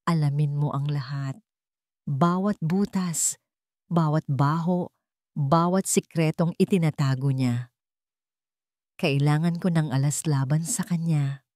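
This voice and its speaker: A woman's voice: deep voice, measured pace, pauses often, a little mellow, dry recording. A woman narrator acts out a stern Filipino man in an audio love drama. He is cold and determined, giving clipped commands in a dry, close recording.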